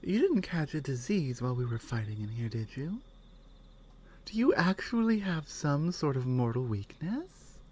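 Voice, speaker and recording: A woman playing a strong, caring Nordic warrior companion in an intimate ASMR roleplay, speaking in halting phrases that often drop toward a whisper. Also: low-pitched, big pitch swings, warm tone, slightly rough, full character voice. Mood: concerned, curious. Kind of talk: ASMR roleplay, intimate one-sided dialogue.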